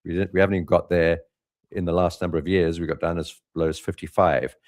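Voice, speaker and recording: A man's voice: sits low, fast talker. A man hosting a live trading update, talking loosely with many fillers and restarts about markets and his own past. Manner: relaxed, rambling with frequent fillers and restarts. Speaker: trading channel host.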